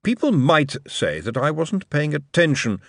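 A man voicing a suave tyrant with measured, precise, ironic and quietly menacing delivery, in an audiobook. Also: fairly high pitch, big pitch swings, vocal fry, no room sound, clean studio sound, voice pushed up, heavily acted. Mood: cool, menacing. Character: suave, menacing male tyrant, dry and deliberate.

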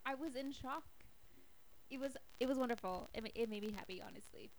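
A woman's voice: fairly high pitch, brisk. A young woman from the southern United States talking casually as a podcast co-host. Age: young adult. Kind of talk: casual chat. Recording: noisy amateur podcast recording.

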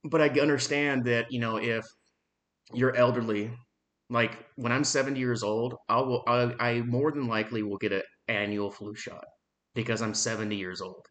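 A man in his thirties giving a blunt, frustrated opinion monologue on his own podcast, swearing freely and pausing often on "um.". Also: medium pitch, steady tone, fairly bright tone. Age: 30s. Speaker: podcast host.

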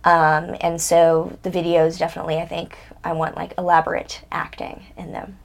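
Blunt candid young woman, lowish voice. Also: completely flat delivery, moderate pace, gravelly. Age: 20s. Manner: blunt, candid, swears freely. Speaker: interview guest. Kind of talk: casual interview conversation.

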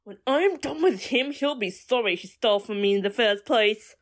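High-voiced stubborn young superpowered woman, acted. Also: lively intonation, normal speed. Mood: vengeful. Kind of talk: audio drama acting.

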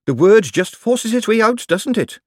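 A man voicing a weary, firm young kitchen girl in an audiobook, brisk and matter-of-fact. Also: high voice, animated, darkish tone, no echo, clean studio sound, pitched above the performer's own voice. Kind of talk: audiobook character dialogue.

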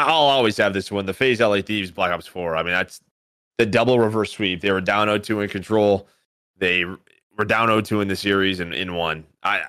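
A man's voice: on the low side, a bit bright, no echo, clean studio sound. A man co-hosting a podcast in a casual, conversational style, moving between opinions and listener questions. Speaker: esports commentator and podcast co-host.

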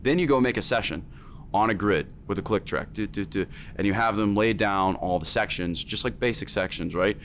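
A man's voice: lowish voice, brisk, a bit bright, slight background noise. A man working as a music producer and teacher, talking emphatically in a casual chat, with lots of fillers.

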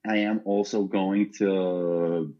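A man's voice: sits low, fairly even intonation, mellow. Young man, stammering and laughing. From Norway. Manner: stammering restarts, quick laughs. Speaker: interview guest, racer. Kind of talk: casual interview chat. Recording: dull lo-fi sound.

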